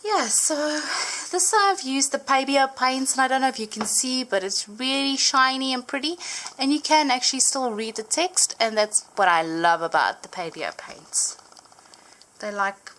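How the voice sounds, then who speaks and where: A young woman whose first language is Afrikaans, chatting casually as she flips through her journaled Bible, full of little fillers and gentle enthusiasm. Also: a little high, rough recording. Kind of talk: casual show-and-tell narration. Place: indoors at a table, hands over an open book.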